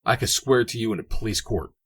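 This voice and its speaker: A man's voice: lowish voice, fast, fairly bright tone, slightly rough, voice pushed up. A man doing an indignant young man. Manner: indignant and emphatic. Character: angry young man confronting a friend.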